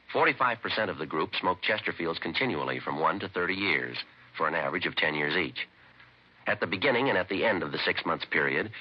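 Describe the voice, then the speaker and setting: A man's voice: sits low, husky. An American man reading a scripted 1950s radio advertisement in a precise, emphatic way. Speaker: commercial pitchman. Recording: dull vintage radio recording.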